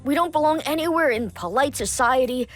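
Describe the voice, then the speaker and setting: A woman's voice: sits high, expressive, a little fast, faint music behind. A woman voicing a timid, anxious young cartoon creature sidekick, meek, hesitant and precise in speech. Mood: worried. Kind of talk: cartoon comic dub acting.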